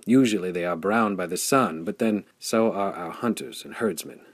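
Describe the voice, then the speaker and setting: A man's voice: low-pitched, normal speed, slightly rough, dry recording. A man voicing a grave, bitter commander in an audiobook, speaking in formal, emphatic declamation. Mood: grim, weary. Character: grave, bitter male commander warning of raiders.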